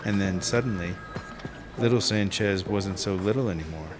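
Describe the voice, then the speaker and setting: An actor playing a laid-back slacker screenwriter in telesales, rambling and slangy in a radio drama. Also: male voice, low-pitched, normal speed, close to an everyday voice. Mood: casual, rambling. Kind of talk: naturalistic radio drama acting. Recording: music under the dialogue.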